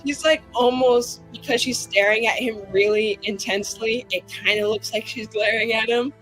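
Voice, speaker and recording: A woman's voice: on the high side, fairly even intonation, moderate pace, a bit bright, faint music behind, low-quality recording. A woman playing a tabletop game, chatting casually and describing her character in a playful, wry way. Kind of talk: casual improvised roleplay chat.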